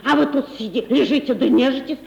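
An actress playing a fawning, gossipy, superstitious elderly housekeeper with folksy, obsequious speech in a Russian radio play. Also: female voice, sits high, quick pace, vocal fry, darkish tone. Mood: ingratiating, gossipy. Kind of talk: 1950s Russian radio theatre acting. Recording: dull, noisy, echoey 1950s radio recording.

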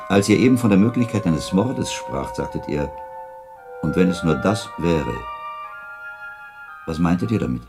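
Very deep, shrewd monk investigator. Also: male voice, animated, music in the background. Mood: probing. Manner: deliberate, pedantic, long logical sentences. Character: shrewd, methodical monk investigator reasoning out a death. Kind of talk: radio play acting.

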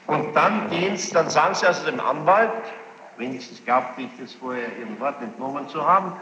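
Judge in an echoing hall. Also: male voice, fairly high pitch. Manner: formal, probing, deliberate phrasing with insistent recapitulation. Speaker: presiding judge questioning a witness. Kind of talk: courtroom questioning. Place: large reverberant courtroom with gallery. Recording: noisy, muffled 1960s courtroom tape, echoing hall.

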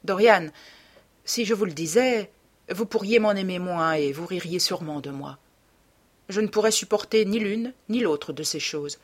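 A woman's voice: lowish voice, moderate pace, lightly acted. A woman voicing an affable, devoted male painter in a novel, courteous, earnest and questioning.

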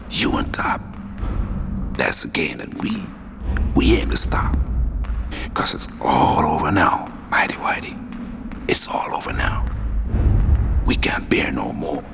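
A man's voice: a bass voice, gravelly. A man performing spoken-word protest poetry in a dramatic delivery that moves between half-whispered and emphatic.

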